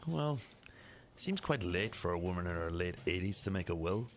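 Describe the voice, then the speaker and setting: A man acts out a police detective for an amateur radio drama. His voice sits low, and he questions in a measured, formal, matter-of-fact way. There is faint noise behind him. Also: expressive. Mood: musing.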